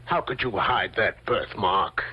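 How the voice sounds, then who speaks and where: A man's voice: on the low side, a little slow, slight background noise, lightly acted. An actor playing an anxious, indignant man in an old-time radio mystery, protesting with flustered stammers. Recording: muffled old radio drama recording.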